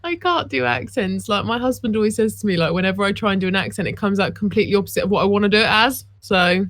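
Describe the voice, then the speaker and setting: A woman's voice: mid-range voice, fast, fairly bright tone. A woman playing in an online game, giggly and self-deprecating. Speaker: player. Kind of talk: casual game chat.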